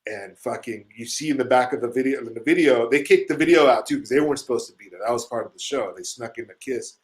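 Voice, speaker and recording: Man from Chile, middle-aged, casual chat, swearing a lot, rambling, medium-pitched voice. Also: fast. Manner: rambling, swearing, animated storyteller. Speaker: musician telling stories on a show.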